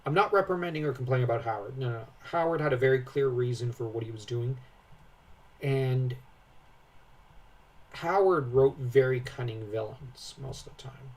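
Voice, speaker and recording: A man's voice: mid-range voice, moderate pace, a little mellow, far from the mic, rough recording. A man giving casual analytical commentary as a co-host, explaining his opinions.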